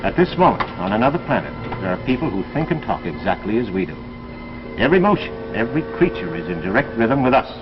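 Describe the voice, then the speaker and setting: An authoritative doctor in charge of a secret committee, speaking low with formal, deliberate gravity. The vintage science fiction broadcast sounds muffled, with music running behind him. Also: male voice, big pitch swings. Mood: grave, emphatic. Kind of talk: 1950s science fiction anthology drama acting.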